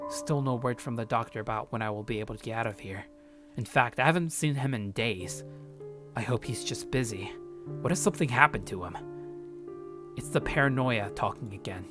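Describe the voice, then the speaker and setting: A man's voice: mid-range voice, moderate pace, long pauses, music in the background, lightly acted. A man playing a paranoid, sardonic young man narrating his journal in a visual novel, curt and brooding. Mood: brooding, bitter.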